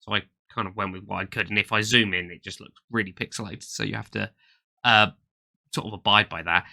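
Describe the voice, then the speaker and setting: A man's voice: on the low side, steady tone, brisk, creaky, sharp tone. A man with a slight cold and a nasal voice, hosting a casual toy collecting news chat with eager, rambling talk and many fillers. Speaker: toy collecting channel host.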